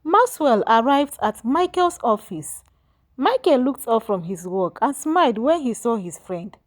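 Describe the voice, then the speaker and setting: A woman's voice: fairly high pitch, big pitch swings, a little slow. A woman narrating a scripted folktale as a storyteller, with dramatic, emphatic delivery.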